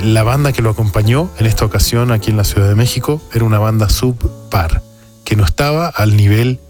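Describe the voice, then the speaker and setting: A man's voice: on the low side, a little mellow. A man, hosting a jazz radio show with casual, reflective, opinionated music commentary. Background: music playing behind the voice.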